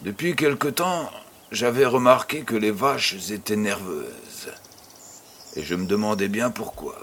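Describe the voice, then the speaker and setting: An actor playing an affectionate, teasing old farmer grandfather who tells tales in an unhurried way in an audio drama. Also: on the low side, expressive, fairly bright tone, breathy edge, full character voice. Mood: storytelling. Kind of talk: French-language audio drama acting.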